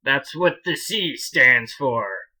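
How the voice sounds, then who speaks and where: A man's voice: mid-range voice, animated, slow, sharp tone. A man giving casual, chatty, jokey commentary as he hosts a video game walkthrough.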